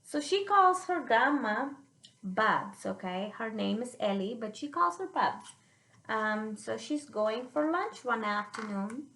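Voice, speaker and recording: A woman reading a picture book aloud to children, pausing to explain it simply and check they follow, in a roomy recording. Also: a little high. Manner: animated, checks understanding with listeners.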